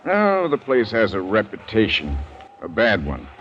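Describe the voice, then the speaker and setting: An actor playing a thoughtful, uneasy gentleman hunter with a hesitant, musing manner in 1940s radio style. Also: on the low side, wildly swooping pitch, unhurried. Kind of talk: 1940s radio drama acting. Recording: dull, noisy old radio recording.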